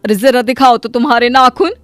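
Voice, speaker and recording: Woman playing gentle, high-voiced motherly auntie. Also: a little fast, faint music behind, close to an everyday voice. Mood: friendly, concerned. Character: kindly, advising middle-aged auntie neighbour. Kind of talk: Hindi moral story cartoon dub.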